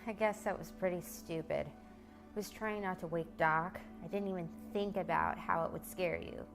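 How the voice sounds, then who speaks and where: A woman reading a novel aloud and voicing a stubborn, sleepy young teenage boy in a soft, hushed way. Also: fairly even intonation, moderate pace, creaky, music in the background. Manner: soft, hushed and low-key.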